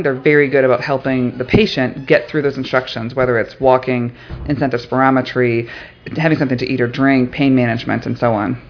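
A man hosting a podcast and interviewing his surgical team during a hospital mission, chatting in an upbeat, friendly way. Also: medium pitch. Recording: dull lo-fi recording.

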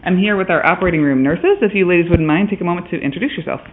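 A male podcast host with a voice a touch higher than most, talking quickly. He is upbeat, friendly and chatty as he interviews colleagues in a hospital, and the recording is dull and lo-fi. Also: slight background noise. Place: hospital on a surgical mission.

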